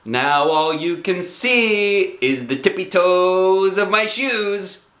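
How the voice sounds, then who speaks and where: A man voicing an excitable, indignant kindergarten girl in a children's storybook, with childish, blurting, emphatic delivery. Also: high voice, takes it slowly, roomy sound, pitched above the performer's own voice. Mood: excited. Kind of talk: children's storybook read aloud.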